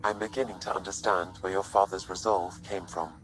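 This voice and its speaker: Deep, calm AI assistant voiced by a man. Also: a little fast, faint music behind, lightly acted, rough recording. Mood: calm, formal. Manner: even, formal, detached. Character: calm, curious male-voiced artificial intelligence assistant. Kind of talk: video game voice acting.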